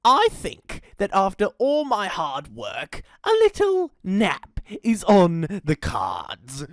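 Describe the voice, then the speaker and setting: Woman acting a loud, gloating big bad dingo. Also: on the high side, very animated, takes it slowly, gravelly, dry recording, voice pushed up, full character voice. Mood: smug, satisfied. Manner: gloating, mocking, theatrical. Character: big bad male dingo villain, mocking, greedy and lazy. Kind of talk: children's story character acting.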